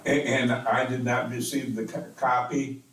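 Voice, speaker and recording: Mayor with a strong voice, echoey room. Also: male voice, mid-range voice, little pitch movement, moderate pace, a bit bright. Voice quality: strong, carrying voice. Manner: insistent, repeats words, defends himself. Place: city council chamber. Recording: echoey council chamber, distant mic.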